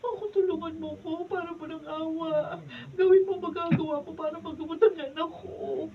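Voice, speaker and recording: A woman's voice: very high voice, fairly even intonation, normal speed. A woman with a Filipino accent acting a desperate young mother in a radio drama, pleading in anguish. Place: radio drama recording session. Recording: distant, noisy, dull camera audio.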